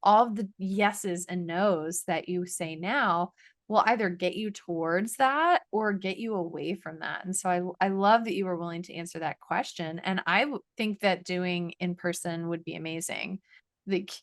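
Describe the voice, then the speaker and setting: A woman's voice: fairly low pitch, moderate pace, no room sound, studio quality. A woman co-hosting a podcast, speaking in an earnest, affirming, coaching way with many "like"s. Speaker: podcast co-host. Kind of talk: casual podcast chat.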